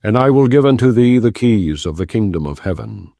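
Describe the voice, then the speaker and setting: A man giving a measured, solemn reading of archaic scripture as an audiobook narrator, without dramatizing it. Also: on the low side, expressive, vocal fry, warm tone, dry recording.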